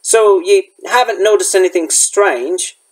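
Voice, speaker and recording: A man puts on a gruff, protective woodsman for a storybook. He speaks bluntly in folksy, rough grammar, and the pitch runs high for a man. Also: measured pace, low-quality recording. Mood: questioning. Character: gruff, protective, plain-spoken male forest guide. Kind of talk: storybook character voice.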